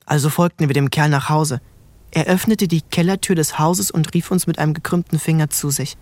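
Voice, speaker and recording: An actor narrating an audio drama in the first person as a reflective youngest brother. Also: male voice, a little high, steady tone, a little fast, darkish tone, lightly acted. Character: reflective youngest brother recalling a rough childhood.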